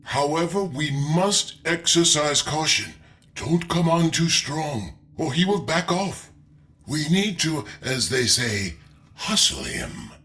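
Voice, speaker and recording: A man voicing a shrewd merchant strategist in a game, advising in a formal, deliberate and sly manner. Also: on the high side, lively intonation, slight background noise, heavily acted. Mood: scheming. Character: shrewd, measured merchant strategist coaching an ally. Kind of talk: dramatic game mission briefing. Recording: loud music behind the voice.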